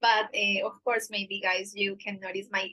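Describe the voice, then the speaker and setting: A woman's voice: mid-range voice, level tone, normal speed, sharp tone. A Colombian woman with a Paisa accent, a native Spanish speaker, giving earnest advice on a live Q&A in careful English full of fillers. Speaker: dating agency staff on a live Q&A.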